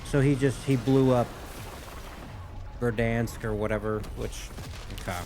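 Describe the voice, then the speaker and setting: A man hosts a gaming reaction video in a casual, conversational way. His pitch is medium, and he rambles as he thinks out loud. Faint music plays behind him. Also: steady tone, unhurried.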